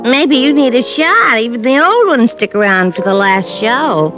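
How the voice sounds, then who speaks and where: A woman's voice: animated, normal speed, a bit bright, faint music behind. An actress playing a wisecracking young hat check girl in a 1950s radio drama, teasing and sassy. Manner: sassy, teasing, swooping pitch.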